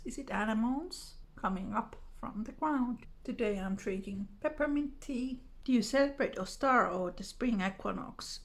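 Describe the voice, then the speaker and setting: A woman's voice: mid-range voice, vocal fry. A woman with a Finnish accent, a native Finnish speaker, chatting casually and unhurriedly to viewers in English as a vlogger. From Finland. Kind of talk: casual chat to camera, answering tag questions.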